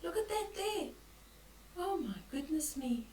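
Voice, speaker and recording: An older woman reading a picture book aloud to children, expressive and dramatic, with hushed whispers and exclamations as she comments on the pictures. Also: extremely high pitch, unhurried. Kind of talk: bedtime read-aloud with asides on the pictures. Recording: roomy echo, distant from the mic.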